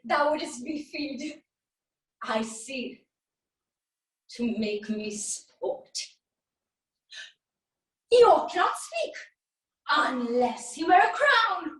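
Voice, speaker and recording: High-pitched, vengeful warrior queen. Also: expressive, a bit bright, full character voice. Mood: contemptuous. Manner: theatrical, taunting, drawn-out delivery with swings. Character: vengeful, mocking warrior queen taunting a captive. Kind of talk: classical Shakespearean stage monologue. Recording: echoey room, distant microphone.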